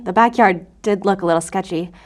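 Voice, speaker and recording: A woman's voice: mid-range voice, brisk, natural voice. An actress playing a defensive, earnest female federal agent in an audio drama, guarded in manner. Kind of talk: audio fiction drama acting.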